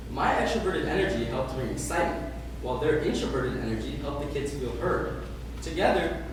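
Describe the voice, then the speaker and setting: A young man delivering a rehearsed public speech as a student speaker, with deliberate, emphatic, oratorical phrasing. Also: mid-range voice. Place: live talk in a large room. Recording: roomy, distant, noisy event recording.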